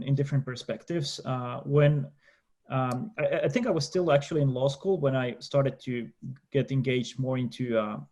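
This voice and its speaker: Young finnish guy on a video call, finnish accent, lots of ums and restarts, mid pitch. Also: darkish tone. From Finland. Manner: hesitant, many restarts and fillers. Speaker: debate panelist. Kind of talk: panel debate on a video call.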